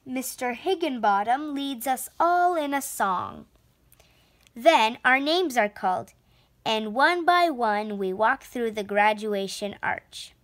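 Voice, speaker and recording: A woman narrates a children's storybook. Her voice is high, and she takes her time. The phrasing is deliberate and expressive. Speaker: storybook reader. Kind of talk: children's read-aloud narration.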